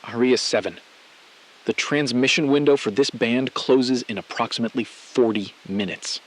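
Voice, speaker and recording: A man's voice: medium pitch, normal speed, natural voice, rough recording. A man playing a businesslike mission control operator in an audio drama, speaking in a formal, procedural manner. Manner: procedural, official. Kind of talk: scripted audio drama acting.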